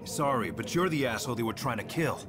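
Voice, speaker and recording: A man's voice: mid-range voice, lively intonation, quick pace, music in the background, lightly acted. A man playing a young police detective, wry and dogged, in a cinematic crime game. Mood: terse, wry.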